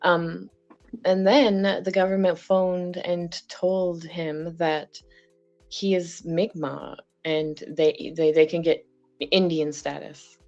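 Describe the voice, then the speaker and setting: A woman's voice: lowish voice, level tone, slow pace, darkish tone. A middle-aged woman from Canada telling a meandering personal story in casual chat. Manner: chatty, meandering, trailing off. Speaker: guest. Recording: dull lo-fi sound with faint music.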